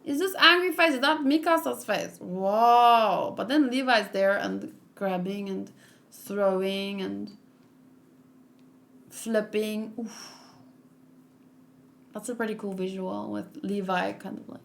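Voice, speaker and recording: A woman hosts a casual read-along in an unhurried, halting way. She comments on a comic as she goes and drops in short exclamations. Her pitch sits in a middle range for a woman. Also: gravelly, fairly bright tone, a little hiss or hum. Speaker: manga read-along host. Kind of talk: casual reading and reacting to a comic.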